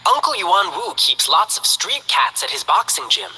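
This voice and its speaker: A woman's voice: fairly low pitch, expressive, normal speed, sharp tone, husky, long reverb tail, full character voice, rough recording. An actress playing a cheerful, playful young female lion dancer in a game, upbeat and chatty.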